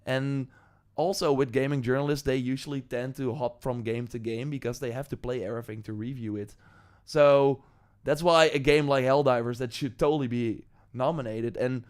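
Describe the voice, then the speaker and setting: A man's voice: mid-range voice, clear, resonant tone. A man with a Dutch accent, a native Dutch speaker, hosting a live stream, reading viewer comments and rambling loosely with many ums and likes. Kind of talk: casual live-stream talk, reading chat.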